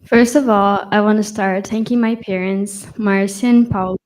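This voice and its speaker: A woman, an exchange student, slowly and deliberately starting a thank-you speech. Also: medium pitch, fairly even intonation, a little mellow.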